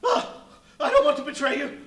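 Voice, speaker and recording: A man's voice: extremely high pitch, very animated, fairly quick, fairly bright tone, exaggerated voice. A man playing a flustered, superstitious rustic tramp who is eager to please, in a live radio play. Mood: frightened, eager. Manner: flustered, exclaiming, folksy. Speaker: stage radio play actor. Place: live performance at a brewery venue. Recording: echoey live stage recording.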